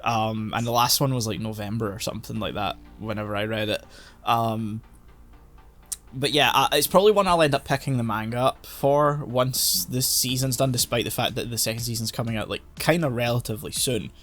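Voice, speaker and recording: A man's voice: medium pitch, brisk, a bit bright. A man co-hosting a casual podcast, rambling through his thoughts with lots of fillers and restarts. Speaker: podcast co-host. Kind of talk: casual chat about anime. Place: home, recording online.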